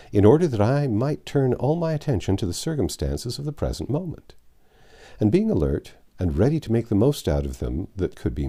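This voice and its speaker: A man reading a classic novel aloud as an expressive storybook narrator. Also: medium pitch. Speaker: audiobook narrator.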